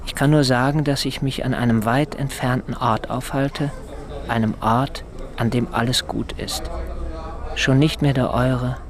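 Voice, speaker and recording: An actor playing a brooding, bookish tutor in a radio drama, speaking in a deliberate, measured way. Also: male voice, mid-range voice, steady tone, crowd noise, lightly acted. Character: brooding literary tutor secretly writing a missing boy's letter. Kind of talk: radio drama acting and narration.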